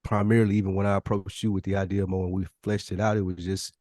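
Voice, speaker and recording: Deep, fast-talking American man. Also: flat delivery, dark tone, no echo, clean studio sound. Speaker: podcast co-host. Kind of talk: casual chat.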